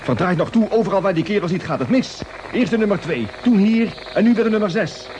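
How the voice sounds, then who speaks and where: An actor playing an excitable, grumbling spaceship radio operator, colloquial and exclamatory in vintage radio drama style. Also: male voice, sits high, fast talker. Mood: worried. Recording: noisy old radio drama recording.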